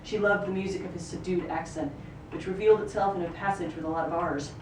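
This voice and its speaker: Female reader for literary fiction, expressive third-person storytelling, recorded off-mic in a roomy space. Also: fairly low pitch, fast talker. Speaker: actor reading a book excerpt. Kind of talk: live literary reading, third-person narration. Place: live reading in a roomy venue. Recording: roomy, distant microphone, noisy ambience.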